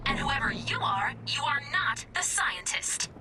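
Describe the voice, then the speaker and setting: A woman's voice: high voice, very animated, measured pace. A woman voicing a snarky female AI computer that talks down to humans with a sarcastic, cutting manner, in video game voice acting. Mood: snarky, scornful. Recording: game audio over music.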